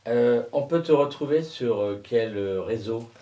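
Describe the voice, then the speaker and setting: A man's voice: mid-range voice, expressive, unhurried, dark tone, highs cut off. A man interviewing a guest in a casual style with short questions, heard at some distance from the microphone. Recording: voice distant from the mic.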